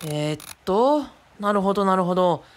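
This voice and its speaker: Woman acting friendly know-it-all grown-up in a radio drama, animated, explains stuff casually. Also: medium pitch, moderate pace, natural voice. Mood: explaining. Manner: casual, explanatory, thinking aloud.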